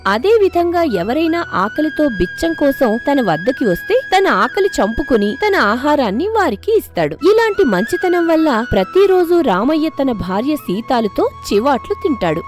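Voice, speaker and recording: A woman narrating a moral story in an expressive scripted storytelling style. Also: sits high.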